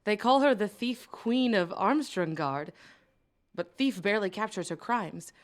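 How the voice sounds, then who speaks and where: A woman's voice: mid-range voice, a little slow, natural voice. An actress playing a young female mage and theology student, scholarly and tart-tongued, with a dry, sardonic manner. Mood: wary. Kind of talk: audio drama acting.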